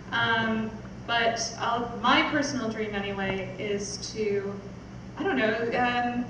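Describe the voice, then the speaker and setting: A young woman giving an informal speech as host, casual, hesitant and a little self-deprecating. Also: fairly high pitch. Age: young adult. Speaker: podcast producer hosting launch event. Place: small theatre stage, indoors. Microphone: stand mic, picked up by distant camera. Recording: very echoey, noisy hall from far off.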